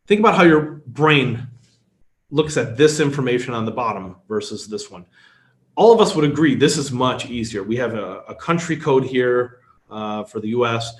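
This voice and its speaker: A man's voice: mid-range voice, lively intonation, normal speed. American man teaching a webinar, roomy sound. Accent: Chicago. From United States. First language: Polish. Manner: explains with everyday examples, frequent fillers. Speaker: workshop presenter. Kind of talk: webinar lecture. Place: indoor room. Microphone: webcam video call.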